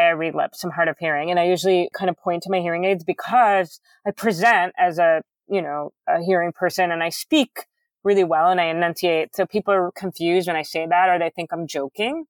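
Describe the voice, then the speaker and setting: A woman talks loudly and enunciates every word with care. She is earnest and animated, full of "you know" and "um". Her voice sits a little low, and the recording is dry and close. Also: dry recording. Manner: loud, carefully enunciated speech; earnest, animated, many fillers. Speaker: guest. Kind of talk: candid conversational interview.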